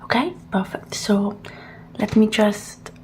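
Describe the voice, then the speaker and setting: A woman speaking softly and gently in a halting, reassuring ASMR roleplay, slipping between quiet voice and whisper. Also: medium pitch. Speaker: ASMR performer playing a robot repair technician.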